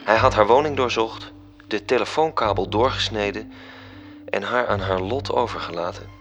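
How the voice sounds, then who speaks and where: A man's voice: on the low side, moderate pace, fairly bright tone, lo-fi sound. A man narrating a scripted radio drama as its storyteller.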